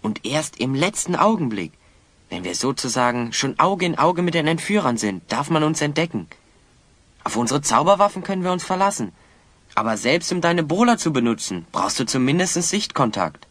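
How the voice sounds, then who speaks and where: A man playing a confident adventurer hero in a German audio drama, with theatrical, deliberate delivery. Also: on the high side, sing-song, fairly bright tone, no room sound. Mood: resolute.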